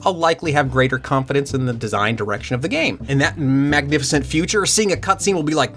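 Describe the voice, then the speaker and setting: A man presenting a scripted video essay about game usability, with dry humor and excited asides. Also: medium pitch, fast, faint music behind. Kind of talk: scripted commentary with jokes.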